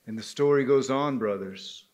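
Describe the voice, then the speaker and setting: A man's voice: mid-range voice, normal speed, a little mellow. A man telling a folktale live, emphatic and speaking directly to his listeners. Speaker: storyteller at a men's gathering.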